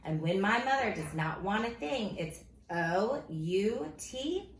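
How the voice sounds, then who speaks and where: A woman reading a children's storybook aloud in a silly, theatrical, expressive way, like a teacher at story time. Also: medium pitch, slow pace. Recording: roomy echo with faint background noise.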